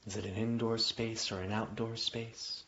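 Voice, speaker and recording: A man guiding a yoga nidra meditation, speaking in gentle, invitational phrases with long pauses. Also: fairly low pitch, little pitch movement. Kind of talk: guided meditation narration. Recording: dull, muffled lo-fi audio.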